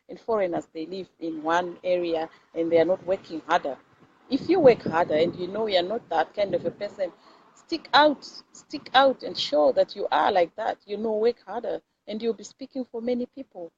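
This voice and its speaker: A muffled, low-fidelity recording with noticeable background noise carries a woman with a Zimbabwean accent. She hosts an informal motivational talk, addressing viewers directly and emphatically. She often repeats a phrase to drive it home. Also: darkish tone. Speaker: host of live videos. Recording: dull lo-fi audio with clear background noise.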